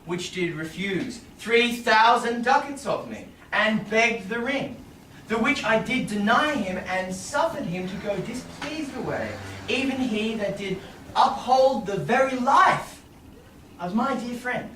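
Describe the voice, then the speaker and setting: A man's voice: high-pitched, lively intonation, unhurried, a bit bright. A young man playing an earnest, guilt-ridden noble husband, pleading in a staged Shakespeare reading. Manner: earnest, imploring. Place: small indoor theatre stage. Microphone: far audience camera. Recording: roomy, noisy distant audience camera.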